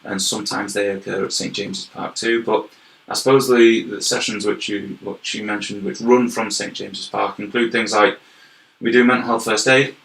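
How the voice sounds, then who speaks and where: A man's voice: lowish voice, level tone, normal speed, creaky, a bit bright. A young man with a Yorkshire accent, from York, talking earnestly as an interview guest and explaining his work at length, often repeating words as he goes. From York, England. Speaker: guest, health and wellbeing manager. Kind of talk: casual interview chat. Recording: roomy echoey recording.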